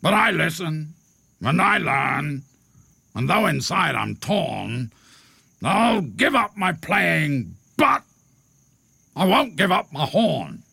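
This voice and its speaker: A man voicing a vain, grumbling rhinoceros in a storybook, with a gravelly, husky voice and pompous, drawn-out delivery. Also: a little high, big pitch swings, sharp tone, no room sound, pitched above the performer's own voice, heavily acted. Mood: solemn, noble.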